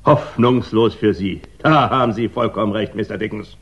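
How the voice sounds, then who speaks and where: An actor playing a smug, blustering police inspector in a 1960s German radio crime drama, accusing and emphatic. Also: male voice, fairly low pitch, moderate pace, natural voice. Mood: smug, insinuating. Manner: emphatic, officious, insinuating. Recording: dull 1960s radio recording.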